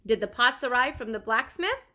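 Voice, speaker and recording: A woman's voice: fairly high pitch, expressive, normal speed, pushed and bright, voice pushed up. A woman voicing a passionate, commanding male master painter from a storybook in an emphatic, declaiming manner. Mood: brisk.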